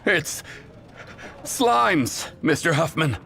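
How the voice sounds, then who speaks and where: A man's voice: a little high, very animated, very slow, vocal fry, sharp tone, soft background music, heavily acted. An actor playing an alarmed, faltering junior guard reporting to his superior in a video game. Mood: nervous.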